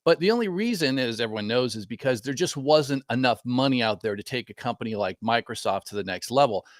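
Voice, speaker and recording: A man's voice: mid-range voice, animated, fast talker, no room sound. A man joining a trading show as a guest, wry and teasing. He ribs the hosts before turning to market talk. The sound is dry and close. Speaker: featured guest. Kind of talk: casual banter.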